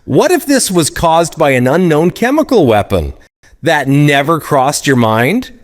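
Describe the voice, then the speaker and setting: An actor playing an inquisitive, talkative FBI agent in an animated noir satire, questioning in an expressive way. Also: male voice, fairly high pitch, measured pace, dry recording, clean studio sound. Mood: probing. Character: inquisitive, talkative male FBI agent, polite but skeptical.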